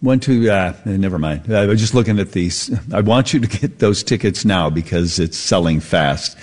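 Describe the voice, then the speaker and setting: A man's voice: low-pitched, expressive, normal speed, darkish tone. A man hosting a radio talk show, easygoing and laughing often as he chats and plugs events.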